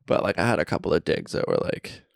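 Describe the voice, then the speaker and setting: A man's voice: sits low, quick pace, vocal fry, no echo, clean studio sound. A young man co-hosting a podcast in a friend's basement, rambling through stories and poking fun at himself. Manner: rambling storyteller, self-mocking. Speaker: podcast co-host. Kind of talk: casual podcast chat.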